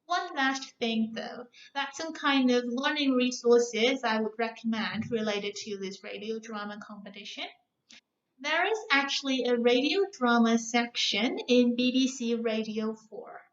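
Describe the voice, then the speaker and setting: In a muffled, echoey room recording, a woman with a fairly high voice gives feedback as a competition judge. She addresses students in an encouraging, instructive way. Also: a little slow.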